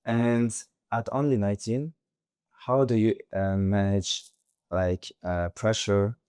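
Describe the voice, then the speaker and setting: A woman whose first language is French, slowly asking interview questions and searching for words. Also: very deep voice, steady tone, darkish tone, smooth, no room sound, clean studio sound.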